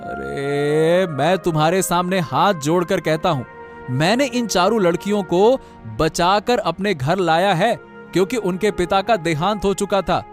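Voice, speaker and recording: Man as an indignant high-voiced young farmer. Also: unhurried. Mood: pleading, firm. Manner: scolding, earnest. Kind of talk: Hindi cartoon moral-story dialogue. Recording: music bed under the voice.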